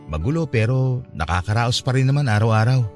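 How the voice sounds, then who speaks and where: An actor plays a gentle but troubled young Filipino man in a radio romance. He speaks casually and hesitantly at a medium pitch, with faint music behind. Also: lively intonation, fairly quick, close to an everyday voice. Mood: resigned, bitter. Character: troubled, gentle young man, bitter about his father. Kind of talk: radio drama dialogue acting.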